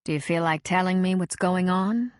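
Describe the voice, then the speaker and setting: A woman voicing a calm, patient counselor who asks gentle, probing questions. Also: fairly low pitch, moderate pace, no echo, studio quality, close to an everyday voice.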